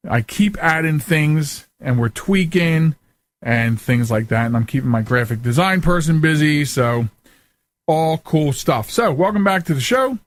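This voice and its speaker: A middle-aged man hosting a casual radio talk show, speaking informally with loose, halting asides into a close microphone. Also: a little high. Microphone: close studio microphone.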